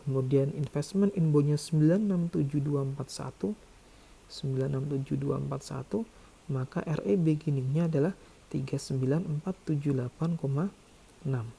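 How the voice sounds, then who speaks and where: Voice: medium pitch, normal speed, vocal fry, dark tone, slightly rough. An accounting lecturer explaining calculations step by step in a didactic way. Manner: didactic, checks with "ya" and "oke".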